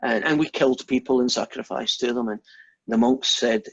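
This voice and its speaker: A man's voice: mid-range voice, sing-song, normal speed, gravelly, a bit bright, husky, dry recording, muffled recording. A Scottish man, a maths lecturer, wandering off topic into rambling, self-deprecating stories during an informal class. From Scotland. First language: Scots.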